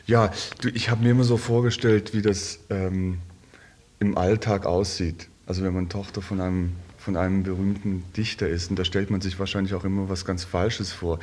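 Low-voiced man asking tentative questions. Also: little pitch movement, moderate pace, a little mellow. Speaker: interviewer. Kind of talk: gentle conversational interviewing.

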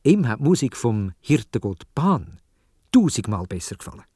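A man's voice: medium pitch, very animated, normal speed, dark tone, husky, dry recording, clean studio sound. A man with a Swiss German accent telling a story for children in a dramatic way, addressing his listeners directly.

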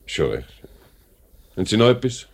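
An actor playing a brusque, dismissive factory director with a Swiss accent and a clipped, authoritative way of talking in a 1950s radio drama. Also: male voice, fairly low pitch, sing-song, normal speed, gravelly, husky, exaggerated voice. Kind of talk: 1950s German-language radio drama acting.

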